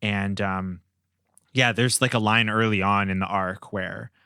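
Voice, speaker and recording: A man's voice: on the low side, steady tone, measured pace, sharp tone, smooth, dry recording, studio quality. A man hosting a podcast, talking in a casual, conversational way. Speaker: podcast host.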